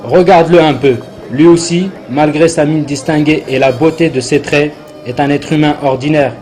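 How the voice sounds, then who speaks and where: A man's voice: fairly high pitch, steady tone, moderate pace, a little mellow, faint music behind, natural voice. A man playing an arrogant, worldly rich man with a condescending, boastful manner in a religious cartoon dub. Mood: dismissive. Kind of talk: dubbed religious cartoon acting.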